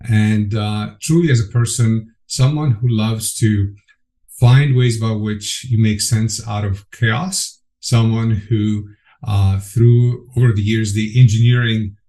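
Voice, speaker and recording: A man's voice: fairly low pitch, steady tone, takes it slowly, warm tone. A middle-aged man from Egypt, a program director speaking as a podcast guest, earnest and enthusiastic with frequent restarts. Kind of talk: conversational interview.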